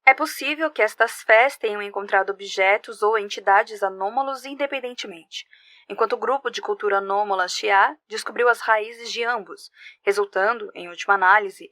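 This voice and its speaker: A woman's voice: mid-range voice, moderate pace, fairly bright tone, dry recording, natural voice. A woman voicing a senior history researcher, reading scripted research notes in a formal, analytical manner. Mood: measured, analytical. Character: female senior history researcher, scholarly and measured. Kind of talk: scripted report narration.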